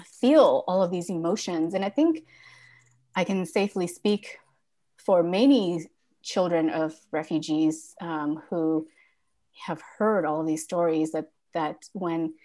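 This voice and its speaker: A woman's voice: lowish voice, a little slow, warm tone. A woman guest speaking reflectively in casual conversation, with many fillers and restarts. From born in Thailand.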